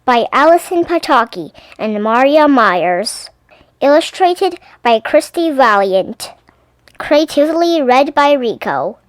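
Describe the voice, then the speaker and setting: A woman reading a children's picture book aloud as an expressive storyteller, animated and careful with her words. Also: high voice, slow, dry recording, low-quality recording.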